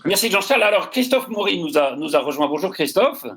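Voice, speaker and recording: A man hosting a French talk show panel, asking guests questions in a lively, halting way. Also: sits high, very noisy. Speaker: host. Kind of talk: panel discussion by video call.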